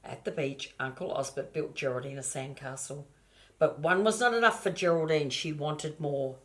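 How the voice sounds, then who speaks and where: Older grandmother reading aloud, low-pitched. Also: normal speed. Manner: expressive, addresses the children directly. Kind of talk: homely storybook read-aloud with asides.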